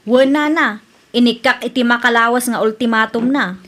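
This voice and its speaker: A woman's voice: fairly high pitch, normal speed, fairly bright tone, natural voice. A Philippine-accented woman playing an assertive, aggrieved village woman, confrontational and insistent, in a comedy radio drama.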